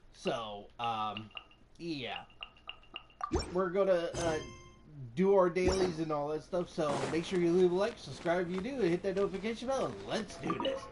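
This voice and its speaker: A man's voice: sits high, background noise. A man giving casual live commentary on his gameplay, muttering to himself in mild exasperation. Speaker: game streamer. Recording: game music behind the voice. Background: video game music.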